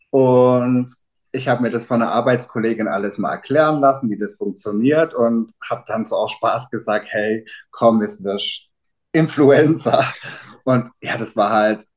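Guest, male, 30s, low voice, relaxed rambling answers full of fillers. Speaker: interview guest, father. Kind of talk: casual interview answers.

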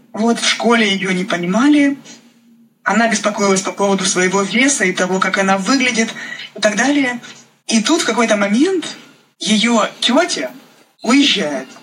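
A woman's voice: medium pitch, fairly bright tone, slight background noise, rough recording. A woman from Ukraine, a playwright, speaking spontaneously in an interview and often searching for words.

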